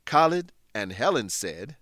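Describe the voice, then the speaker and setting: A man's voice: mid-range voice, sing-song, measured pace, fairly bright tone, no room sound, clean studio sound. A man narrating a radio drama and teaching vocabulary in a scripted, explanatory way, speaking directly to listeners. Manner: clear, explanatory, addressing listeners.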